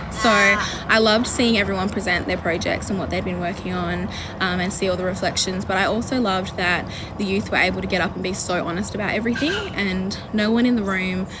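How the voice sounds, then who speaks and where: A woman's voice: medium pitch, fairly quick, hardly any pauses. An Australian woman in her twenties from Western Australia, talking earnestly and enthusiastically in an interview. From Geraldton, Western Australia. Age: 20s. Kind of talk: interview, personal reflection. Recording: noisy interview recording.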